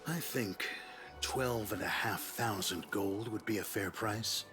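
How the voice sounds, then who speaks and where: A man voicing a businesslike dwarf assassin in a fantasy game, measured, formal and matter-of-fact. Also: fairly low pitch, over music. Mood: grim, businesslike. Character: businesslike, grim male dwarf assassin for hire.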